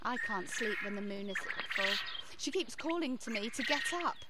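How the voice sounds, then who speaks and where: A woman's voice: fairly high pitch, expressive, moderate pace, natural voice. A woman playing a playful, affectionate young daughter in a radio play, giggly and teasing. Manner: giggly, teasing, sing-song. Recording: noisy, with clicks and birdsong.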